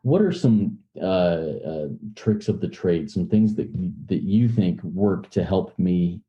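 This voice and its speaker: A man hosting an interview, speaking reflectively in hesitant, measured phrases over muffled audio. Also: low-pitched. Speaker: podcast host, interviewer.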